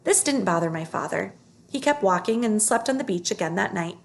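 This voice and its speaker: A woman's voice: medium pitch, lively intonation, fairly quick, creaky, slight background noise. A woman reading a children's story aloud, phrasing it carefully and expressively.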